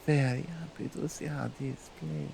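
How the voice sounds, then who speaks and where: Actress as dominant otherworldly woman for ASMR romance: Nordic accent, mellow and creaky, teasing, commanding, doting. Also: very deep voice, steady tone, slight background noise, pitched below the performer's own voice, heavily acted, rough recording. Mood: teasing, devoted. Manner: slow, deliberate, teasing, commanding, non-native English phrasing. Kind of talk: ASMR roleplay addressed to the listener.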